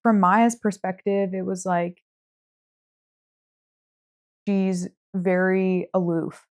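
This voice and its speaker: A woman's voice: mid-range voice, little pitch movement, takes it slowly, a little mellow. Casting: woman, podcast co-host, mid-range voice, informal book chat, speech peppered with "like". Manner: conversational, heavy use of "like".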